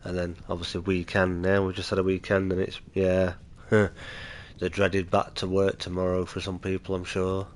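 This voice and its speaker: A man's voice: monotone, normal speed, a little hiss or hum. A man hosting a casual gaming livestream, nasal and stuffy from a cold, chatting easily with viewers. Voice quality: low-key, chatty and easygoing. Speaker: livestream host.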